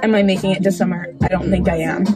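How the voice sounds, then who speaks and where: A woman's voice: lowish voice, level tone, fast talker. Young woman rambling in her car, lots of likes, music playing behind. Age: young adult. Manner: chatty, trailing off with fillers. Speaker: vlogger. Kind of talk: casual chat. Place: parked car. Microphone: phone camera on dashboard. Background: background music.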